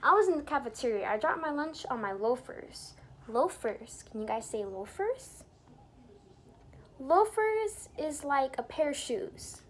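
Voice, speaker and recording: A woman reading a picture book aloud to young children in an expressive, teacherly way, pausing to explain things and put questions to the listeners. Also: sits high, slight background noise. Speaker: children's storybook reader and teacher. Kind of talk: animated read-aloud for young children with asides explaining words.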